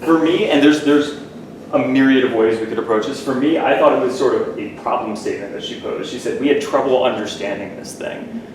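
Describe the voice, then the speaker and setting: A man's voice: mid-range voice, brisk. A man presenting a workshop, talking casually to the class and checking in with the listeners. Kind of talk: casual teaching talk to a class. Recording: echoey room, distant mic, background noise.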